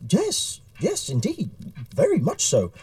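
An actor playing a courteous, earnest, easily flustered Victorian gentleman writer who conducts interviews in an audio drama. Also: sits high, huge pitch swings, takes it slowly, breathy edge, heavily acted. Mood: earnest, inquiring. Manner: courteous, hesitant, bookish.

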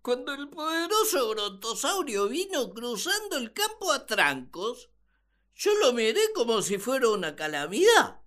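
A woman's voice: fairly high pitch, big pitch swings, measured pace, a bit bright. A woman playing Adam as an exasperated, naive and plaintive first man in a comic radio drama.

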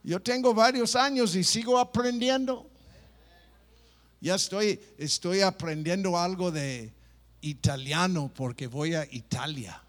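Man, pastor preaching, high voice, slow delivery, playful mimicry. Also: fairly bright tone. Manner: playful, mimics people, stop-start phrasing. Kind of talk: sermon.

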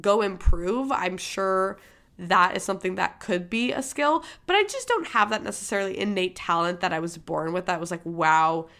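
A woman's voice: mid-range voice, normal speed, gravelly, fairly bright tone. A young woman from Michigan hosting a podcast, talking candidly and emphatically in a casual motivational monologue, swearing freely. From Michigan, USA. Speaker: podcast host.

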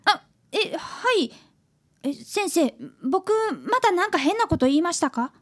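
A woman's voice: extremely high pitch, big pitch swings, unhurried, a bit bright, exaggerated voice. A woman playing an earnest, polite fifth-grade boy who is an apprentice detective in a radio drama. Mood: polite, hesitant. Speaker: narrator and character in a radio drama. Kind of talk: Japanese radio drama acting.

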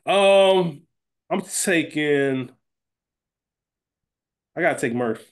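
A man's voice: fairly high pitch, drawn-out words. Informal male podcast co-host, slangy. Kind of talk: casual chat, fantasy draft banter.

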